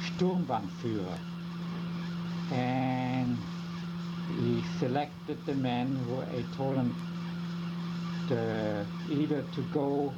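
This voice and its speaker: An older man whose first language is German, slowly and carefully recounting his memories in an oral history interview. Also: medium pitch. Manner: slow, halting, careful recounting. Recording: lo-fi tape with loud hum.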